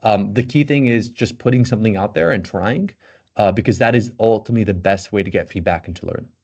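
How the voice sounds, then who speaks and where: A man's voice: lowish voice, brisk, a little mellow, breathy edge. A man from Belgium with an American accent, chatting eagerly as a podcast guest and filling his talk with "like". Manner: loose and eager, heavy on fillers like "like". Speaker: guest, tech content creator. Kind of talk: casual podcast chat.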